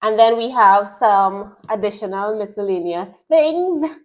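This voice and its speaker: Trinidadian woman, sewing teacher, chatty step-by-step unboxing talk, mid-pitched voice. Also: unhurried, highs cut off. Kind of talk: product unboxing and tutorial.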